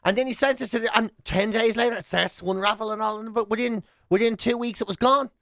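A man's voice: high-pitched, fast, dry recording, studio quality. An Irish man co-hosting a radio show, chatting casually and telling comic stories, acting out the dialogue as he goes. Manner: animated anecdote-telling with acted-out dialogue. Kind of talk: casual comic chat and storytelling. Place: radio studio.

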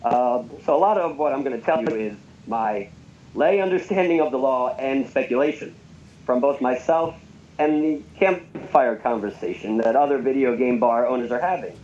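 A man being interviewed about his bar, explaining in a measured, hedging way. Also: mid-range voice, a little mellow. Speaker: bar owner and guest. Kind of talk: interview answers. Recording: muffled remote line with faint noise.

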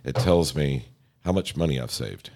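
A man co-hosting a podcast, talking in a laid-back, rambling way with a deep gravelly voice and frequent laughs. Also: moderate pace, darkish tone. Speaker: podcast co-host. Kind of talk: casual chat.

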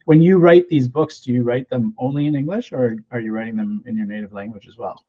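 A man's voice: medium pitch, a little fast, highs cut off. A man hosting a podcast, chatting casually with his guest and agreeing along. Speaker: podcast host. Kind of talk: conversational interview.

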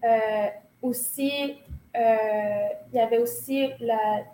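A woman's voice: fairly high pitch, fairly even intonation, slow pace, mellow. A woman in her thirties with a Québécois accent, speaking as an interview guest in a reflective, hesitant way with many fillers and restarts. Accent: Québécois French. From Quebec. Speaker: guest, choreographer. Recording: roomy recording, faint noise.

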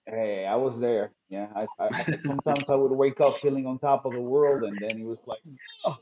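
A man's voice: mid-range voice, a little fast, noisy background. A man co-hosting a podcast, chatting in a loose joking way with lots of "like" and restarts. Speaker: podcast co-host. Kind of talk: casual podcast chat. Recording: dull lo-fi mic sound.

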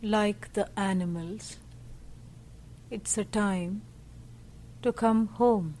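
A woman leading a guided meditation as a teacher, speaking gently in short, measured phrases with pauses. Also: medium pitch, fairly even intonation, slight background noise. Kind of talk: slow guided meditation narration.

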